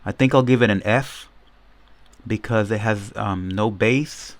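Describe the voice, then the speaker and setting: A man's voice: lowish voice, measured pace. A man giving a casual, informal product demo, explaining things step by step as a reviewer. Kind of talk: casual unboxing and demo commentary.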